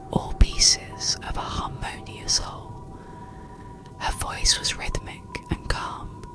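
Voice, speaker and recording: A narrator reads a soothing ASMR bedtime story in a hushed, breathy, unvoiced whisper with a gentle manner. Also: high-pitched, low-quality recording.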